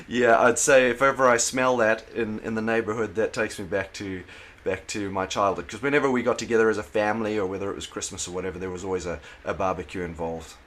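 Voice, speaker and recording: South African man, low measured voice. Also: fairly even intonation, fairly bright tone. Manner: thoughtful, hesitant with fillers and restarts. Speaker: pastor, interview guest. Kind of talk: casual interview conversation.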